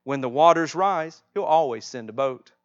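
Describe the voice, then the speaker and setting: A man voicing gentle creature messengers in a children's storybook, announcing solemnly. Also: fairly high pitch, lively intonation, moderate pace, muffled recording, natural voice. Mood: solemn reassurance. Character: gentle creature messengers: frog, moths, firefly, angel fish.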